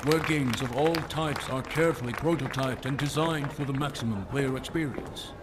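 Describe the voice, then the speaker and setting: A man's voice: medium pitch, moderate pace, lightly acted. A man playing a formal, welcoming convention announcer in an audio drama. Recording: noisy crowd ambience around announcement.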